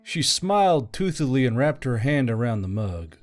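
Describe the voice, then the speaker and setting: A man's voice: medium pitch, big pitch swings, normal speed, soft background music. A man reading scripted fantasy fiction as a story narrator.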